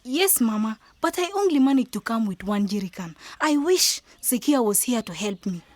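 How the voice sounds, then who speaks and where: A woman playing a gentle, anxious young village woman and caring friend in a radio drama, speaking softly and earnestly. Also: fairly high pitch, animated, normal speed, dry recording. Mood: respectful, wistful. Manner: soft, earnest.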